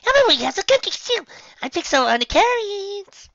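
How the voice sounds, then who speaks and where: Guy doing a bubbly hungry-happy pony gal, really high squeaky falsetto, bright. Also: big pitch swings, normal speed, gravelly, dry recording, dull audio, exaggerated voice. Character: bubbly, eager female cartoon pony.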